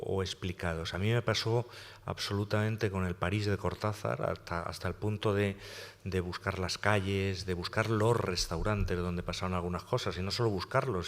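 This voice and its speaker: Male panelist, low register, reflective rambling, recorded in a reverberant hall. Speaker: panelist writer. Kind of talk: round-table discussion. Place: lecture hall at a public panel.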